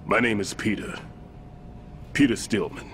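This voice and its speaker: A man's voice: fairly low pitch, measured pace, fairly bright tone, slight background noise. An actor playing an older male retired bomb disposal expert, rueful and self-deprecating. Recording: game cutscene with music.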